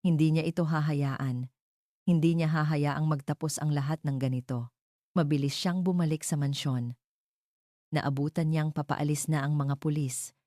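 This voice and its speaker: Woman narrating a love story drama, low mellow voice, dry close sound. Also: steady tone, moderate pace, no room sound.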